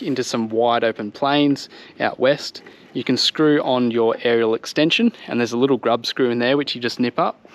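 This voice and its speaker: A man in his thirties with an Australian accent, giving a casual, explanatory product review to his viewers outdoors. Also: mid-range voice. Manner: informal explanatory walk-through, addressing viewers. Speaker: vlogger reviewing vehicle gear. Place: outdoors on a home driveway by a vehicle.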